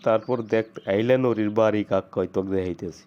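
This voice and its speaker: A man's voice: fairly low pitch, fairly even intonation, fairly quick, muffled recording, a little hiss or hum, lightly acted. A man playing a hard-up middle-aged village father-in-law in a comedy cartoon, talking in a folksy, grumbling way with a Sirajganj Bangladeshi accent.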